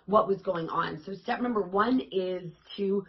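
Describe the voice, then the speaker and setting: A woman fitness coach talking casually to her viewers, her voice raspy and hoarse, in an upbeat, chatty and encouraging way. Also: mid-range voice, unhurried, highs cut off. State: hoarse, voice worn out. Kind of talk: casual vlog talk to camera.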